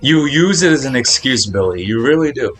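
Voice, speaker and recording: A man's voice: medium pitch, animated, fairly quick, a bit bright, soft background music. A man chatting casually on a live game stream, speaking in a blunt, admonishing, repetitive way. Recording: noticeable background noise.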